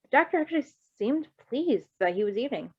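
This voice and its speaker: A woman's voice: high voice, big pitch swings, brisk, husky, no room sound. Woman narrating fan fic casually, kinda dull lo-fi home audio. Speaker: fan fiction reader. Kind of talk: amateur story narration with casual commentary.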